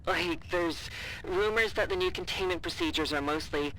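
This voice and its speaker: An actor in an audio drama playing an anxious, apologetic colleague, halting and defensive as he reports over the radio. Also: high-pitched, bright, forceful tone, a little hiss or hum, poor audio. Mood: insistent, uneasy. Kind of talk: naturalistic audio drama dialogue.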